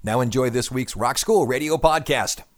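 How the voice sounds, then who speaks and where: A man's voice: medium pitch, normal speed. Chatty male radio host. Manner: chatty, explanatory, lecture-like. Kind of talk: casual talk radio.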